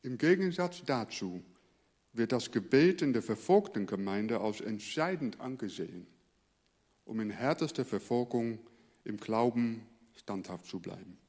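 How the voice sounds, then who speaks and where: A male pastor from the Netherlands, a native Dutch speaker, preaching earnestly and letting his phrase endings fall quiet. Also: medium pitch, expressive, a little slow, big-room sound. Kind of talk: sermon.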